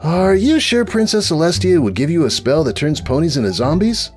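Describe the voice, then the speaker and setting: A man's voice: fairly high pitch, sing-song, fairly quick, a little mellow, soft background music, voice pushed up. A man voicing a young male sidekick in a comic storybook reading, sceptical and wisecracking, with a dry, doubtful manner.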